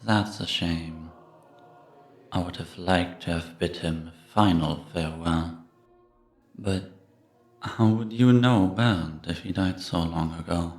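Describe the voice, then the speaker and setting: Man playing a slow, deep ghostly old priest. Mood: wistful, calm. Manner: slow, measured, solemn. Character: ghostly old priest, serene, curious, gently probing. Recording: echoey stone-room reverb.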